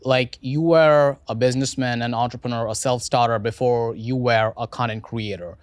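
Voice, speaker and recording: A man's voice: mid-range voice, steady tone, moderate pace, clear, resonant tone, dry recording. A man hosting a podcast, asking long probing questions with stammered restarts. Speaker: podcast host. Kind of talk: interviewer questions.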